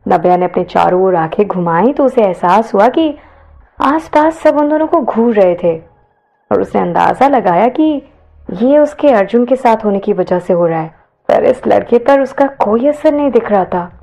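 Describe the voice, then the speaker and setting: A woman's voice: medium pitch, animated, moderate pace, gravelly, dark tone. A woman narrator reading a scripted audio story.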